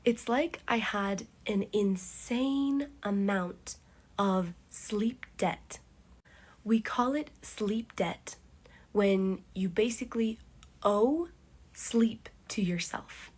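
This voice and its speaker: Very slow-speaking woman teaching English. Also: mid-range voice, darkish tone, breathy edge. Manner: very slow, deliberate delivery with pauses. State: congested with a cough. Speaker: podcast host teaching English. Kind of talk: slow, clear English listening lesson.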